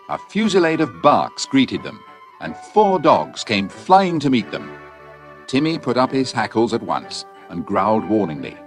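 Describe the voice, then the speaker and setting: A man narrating a scripted children's audiobook as a storyteller. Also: on the low side.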